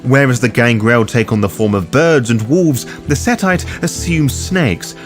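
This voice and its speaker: A man hosting a scripted video guide, speaking in a theatrical, deliberate way. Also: mid-range voice, soft background music. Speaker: host of a roleplay guide.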